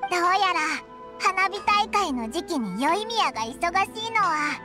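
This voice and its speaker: An actress voicing a tiny, childlike, curious fairy companion in a video game, squeaky and casual. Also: female voice, sing-song, unhurried, over music, full character voice. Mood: observant.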